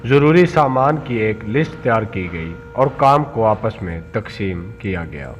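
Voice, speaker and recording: Man narrating a story, lively intonation. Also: lowish voice, unhurried, lo-fi sound, soft background music. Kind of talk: scripted narration of a children's moral story.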